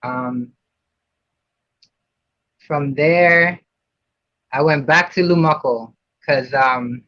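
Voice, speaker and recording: Puerto rican guy rambling w lots of ums, bright voice, dry dull-ish recording. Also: mid-range voice, extremely slow, dry recording. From Puerto Rico. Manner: rambling, many fillers and restarts. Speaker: guest. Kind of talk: casual interview. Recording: dull lo-fi sound.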